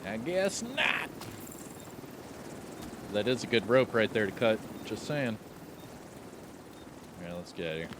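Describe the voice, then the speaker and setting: Deep-voiced laid-back gaming commentator man. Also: measured pace, long pauses, noisy background. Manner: laid-back and joking, with excited outbursts. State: slightly raspy. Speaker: gameplay commentator. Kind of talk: casual gameplay commentary.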